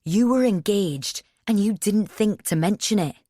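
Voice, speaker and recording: A woman doing a furious, stuttering young man who yells. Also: mid-range voice, measured pace, no echo, clean studio sound, voice pushed up, natural voice. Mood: accusing. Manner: yelling, stuttering, sarcastic.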